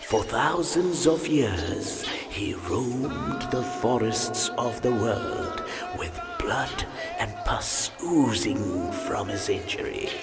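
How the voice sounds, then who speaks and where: An ancient male god telling myths in a video game. He is slow and grand, with big theatrical swoops in pitch, over loud music. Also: lively intonation. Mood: ominous, storytelling. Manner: theatrical, weighty, dwelling on words. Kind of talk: dramatic mythological narration in a video game.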